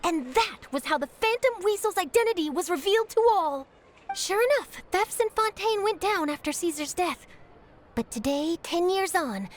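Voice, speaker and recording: Casting: keen young female reporter, video game. Very high pitch, animated swings, theatrical urgency. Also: a little hiss or hum. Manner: excitable, theatrical. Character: eager, dramatic young female news reporter hungry for scoops. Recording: music behind the voice.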